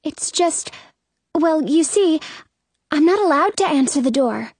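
A woman voicing a shy, polite teenage girl in a storybook, gentle and hesitant. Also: high-pitched, expressive, measured pace, dry recording, voice pushed up.

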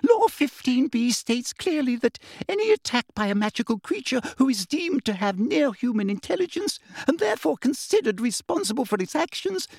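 A man voicing a pompous, frightened and indignant woman official in a storybook, high-pitched and officious. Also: very animated, normal speed, vocal fry, hardly any pauses, a little mellow, no echo, heavily acted. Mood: terrified, officious. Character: pompous, terrified, indignant female government official. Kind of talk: audiobook character voice.

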